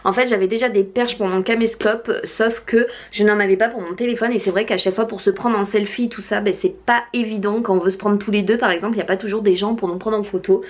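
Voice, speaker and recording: A woman's voice: mid-range voice, steady tone, quick pace, few pauses. A woman in her late twenties, vlogging at home by a window, chatty and enthusiastic with many fillers. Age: 20s. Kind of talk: casual haul vlog.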